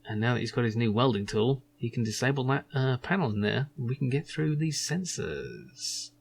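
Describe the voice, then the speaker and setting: A man's voice: mid-range voice, sing-song, moderate pace, creaky, slight background noise. A man playing through a video game and commenting casually as he reads and explains. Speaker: gaming host. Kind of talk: video game playthrough with self-voiced dialogue.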